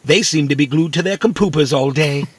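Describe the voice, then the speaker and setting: A man's voice: a little high, a little fast, slightly rough, no room sound. A man playing a naive, opinionated, literal-minded little robot in a children's audio drama. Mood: earnest, opinionated. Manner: literal-minded, matter-of-fact, cheeky.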